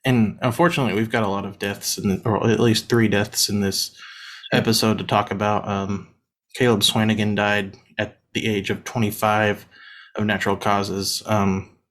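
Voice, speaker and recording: A man in his thirties co-hosting a casual sports podcast, feeling a bit unwell, talking in a relaxed way with plenty of fillers. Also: fairly low pitch, normal speed. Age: 30s. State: under the weather, voice deeper than usual. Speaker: podcast co-host.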